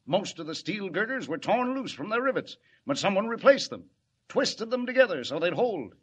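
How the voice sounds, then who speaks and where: An actor playing an anxious, gruff, exasperated city commissioner in 1940s radio drama style. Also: male voice, sits high, sing-song, moderate pace, exaggerated voice. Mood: grave, baffled. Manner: emphatic, exasperated, repeats himself. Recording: dull vintage radio recording.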